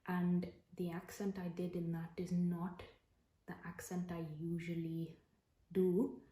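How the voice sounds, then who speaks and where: Brief: woman, general Indian accent, soft half-whispered ASMR delivery, low warm voice, roomy echo. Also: little pitch movement, slow. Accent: general Indian, put on for the video. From Britain. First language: Punjabi. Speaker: actor and ASMR creator. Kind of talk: soft-spoken ASMR talk.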